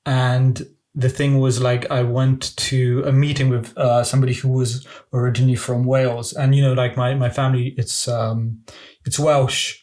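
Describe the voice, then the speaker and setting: A man's voice: medium pitch, flat delivery, moderate pace, a little mellow. A young man from England, a podcast guest, telling rambling stories in casual chat with constant fillers.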